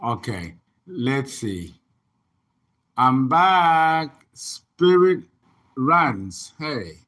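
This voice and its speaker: Guy on a home livestream, slow and easygoing, reads the chat comments out loud and rambles. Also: mid-range voice, big pitch swings. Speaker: astrologer and tarot reader.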